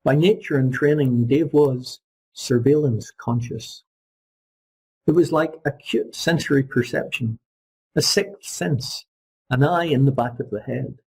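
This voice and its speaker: A man reading a short story aloud as an audiobook narrator, in a husky, gravelly voice. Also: medium pitch, a little mellow, poor audio. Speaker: audiobook reader. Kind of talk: scripted literary narration.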